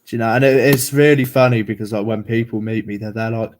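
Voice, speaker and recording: A man's voice: on the low side, fairly even intonation, fast talker, slight background noise. A man from South Africa with a mixed South African, English, American and Irish accent, chatting in a casual, rambling, jokey way on a livestream. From South Africa, living in England.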